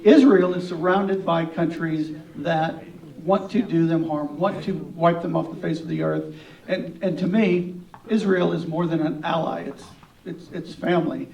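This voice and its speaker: A man's voice: fairly high pitch, fairly even intonation, a little slow, creaky, a little mellow. A man who is a congressional candidate, answering at a forum in an earnest, personal way. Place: event hall. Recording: dull, echoey hall from far off.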